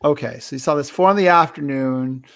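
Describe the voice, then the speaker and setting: A man, likely middle-aged, hosting a casual movie discussion, talking haltingly and often rephrasing himself. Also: fairly high pitch. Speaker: host of a movie review show.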